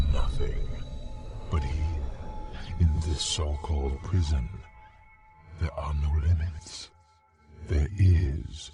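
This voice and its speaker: An actor playing an ancient human necromancer villain in a video game, delivering solemn, theatrical monologues in a grandiose, coldly brooding manner. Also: male voice, extremely low pitch, exaggerated voice. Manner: solemn, theatrical, deliberate oratory.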